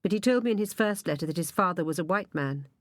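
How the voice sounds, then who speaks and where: A woman voicing a puzzled young gentleman who asks probing questions, in an audiobook reading. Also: on the low side, lively intonation, fast, no echo, studio quality, close to an everyday voice.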